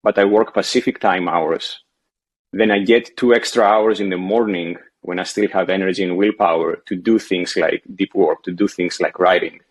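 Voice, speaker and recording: A man in his thirties, originally from Greece, calling in to a show and talking hesitantly with many restarts. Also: sits low. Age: 30s. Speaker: caller. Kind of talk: casual phone-in conversation.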